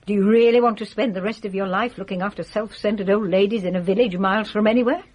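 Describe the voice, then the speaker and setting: A woman's voice: medium pitch, steady tone, fast talker, darkish tone, natural voice. An actress playing a shrewd, bedridden old English lady in a radio drama, teasing and imperious. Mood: querulous. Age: older.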